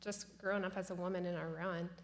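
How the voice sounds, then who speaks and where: A woman leading an interview, encouraging and drawing her guest out with long, searching questions. Her voice sits low, and a faint hiss lies under it. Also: level tone, fast. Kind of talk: conversational interview.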